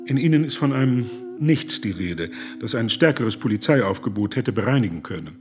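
A man's voice: mid-range voice, expressive, normal speed, close to an everyday voice. An actor playing an earnest German humanitarian in a radio play, pressing his accusations in a pointed, indignant way. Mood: firm.

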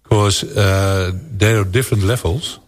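A man hosting a casual radio interview, prompting with relaxed questions and fillers. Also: sits low, unhurried.

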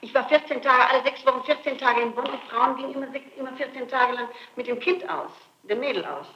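A middle-aged woman answering questions in an interrogation, insistent and flatly contradicting, on an archival tape recording. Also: high-pitched, fairly quick, echoey room, muffled recording, slight background noise. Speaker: suspect under interrogation.

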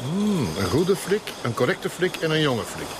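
An actor playing a middle-aged Flemish brewery owner in a radio drama, expansive, frank and confiding. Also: male voice, a little high, very animated, normal speed, noisy background. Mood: jovial, hospitable. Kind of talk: Dutch-language radio drama acting.

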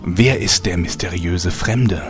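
A man's voice: deep voice, slow, music in the background. A man playing a pompous announcer of a parody soap serial audio drama. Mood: portentous. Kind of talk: parody cliffhanger narration.

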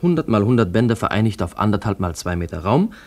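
A detached researcher narrates matter-of-factly. His voice is fairly low and his phrasing clipped, as in a radio play. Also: very animated, quick pace, a little mellow. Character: detached male researcher studying archive records on screen.

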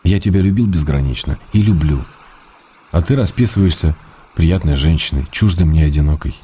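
Radio play husband: deep, dark timbre, weary, reproachful yet affectionate letter reading. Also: male voice, normal speed, a little hiss or hum. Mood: tender reproach. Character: weary, exasperated, loving writer husband answering by letter.

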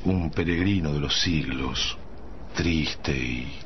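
An actor playing a sad, weary time traveler who wanders the centuries in a radio drama, speaking solemnly and haltingly. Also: male voice, extremely low pitch. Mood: melancholy, solemn. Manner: halting, solemn, pauses between phrases. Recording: dull, noisy radio drama broadcast.

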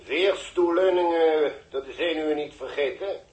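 A man's voice: a little high, normal speed, a little mellow, lightly acted. An actor playing a dry, official male launch control officer in a sixties science fiction radio drama. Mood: dry banter. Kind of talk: 1960s radio drama acting. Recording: echoey old radio recording.

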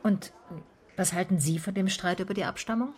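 A woman's voice: mid-range voice, fairly quick, darkish tone, close to an everyday voice. An actress playing a female private detective in a radio drama, sceptical and probing, with an understated, wry delivery. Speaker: lead character and first-person narrator. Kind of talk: German radio drama dialogue and narration.

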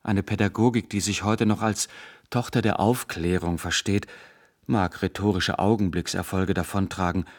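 An actor playing a severe, dogmatic intellectual zealot, a professor who lectures in cutting absolutes, in a radio drama. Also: male voice, deep voice, fairly even intonation, normal speed. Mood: cold, lecturing. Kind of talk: German radio drama acting.

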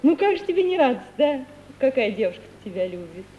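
A woman's voice: sits high, very animated, fairly quick. An actress playing a tender, wistful young woman in love, coaxing and emotional, in an old radio play. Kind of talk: 1950 Russian radio play acting. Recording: muffled, hissy 1950s radio recording.

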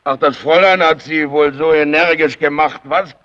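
A man's voice: on the high side, steady tone, moderate pace, fairly bright tone, natural voice. An actor playing a domineering, suspicious watchman who needles and questions insistently, in old radio drama style. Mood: mocking menace. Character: domineering, suspicious fellow watchman, bullying and mocking. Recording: dull, band-limited old radio recording.

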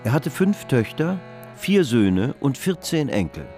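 An actor as the narrator of a historical radio drama, reading grand literary description. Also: male voice, medium pitch, sing-song, a little slow, a little mellow, soft background music. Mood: grand, descriptive.

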